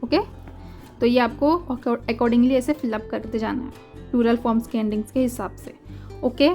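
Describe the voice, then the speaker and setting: High-voiced woman teaching German. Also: mellow. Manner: patient, repeats words slowly, mixes Hindi and English. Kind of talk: explaining textbook exercises. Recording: faint music behind.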